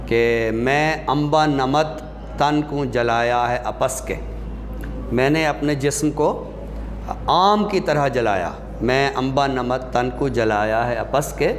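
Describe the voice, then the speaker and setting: A man lectures at a middling pitch. He explains deliberately, with hesitant pauses. The room rings with long reverb over a faint layer of noise.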